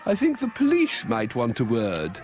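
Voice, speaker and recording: A man voicing a calm, scheming woman librarian who speaks in a low, measured, dry way. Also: soft background music.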